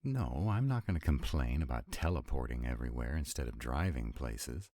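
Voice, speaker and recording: Vampire lover, played by a male actor, romantic audio drama: extremely low voice, dry close sound, sardonic, exasperated, affectionate. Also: lively intonation, normal speed, mellow, no echo. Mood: tender teasing. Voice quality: very deep voice. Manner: sardonic, exasperated, intimate, swooping emphasis. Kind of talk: romantic roleplay audio acting.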